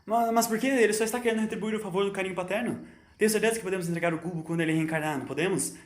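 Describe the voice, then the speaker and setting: A man's voice: sits high, rapid-fire, roomy sound, pitched above the performer's own voice, lightly acted. Man doing an earnest, questioning young woman. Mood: confused, protesting. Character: curious, confused young woman companion, earnest.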